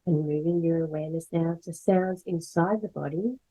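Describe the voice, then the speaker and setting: Low, husky woman guiding meditation. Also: rough recording. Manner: measured, gentle phrasing with pauses. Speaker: yoga instructor guiding a meditation. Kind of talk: guided meditation, slow soothing instruction.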